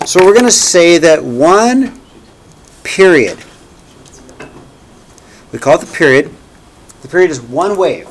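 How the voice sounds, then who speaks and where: A man lecturing a classroom in a fairly high voice, bouncing between loud emphasis and quiet asides. He repeats each key point and keeps checking whether the students follow. Faint room noise sits under him. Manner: energetic, repeats key points, asks "okay?" and "right?" often. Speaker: math teacher.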